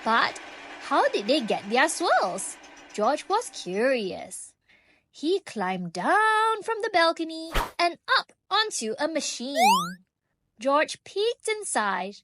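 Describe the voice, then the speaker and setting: A woman reading a children's book aloud in an expressive, animated way, drawing out key phrases for young listeners. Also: high voice, slow pace, noisy background. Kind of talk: expressive storybook read-aloud.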